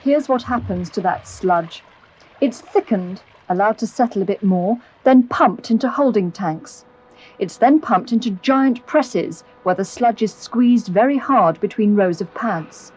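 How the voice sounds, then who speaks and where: A British woman reading scripted narration for an educational film, explaining in a clear, measured way. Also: medium pitch, darkish tone. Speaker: documentary narrator. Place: close, dry voice-over recording.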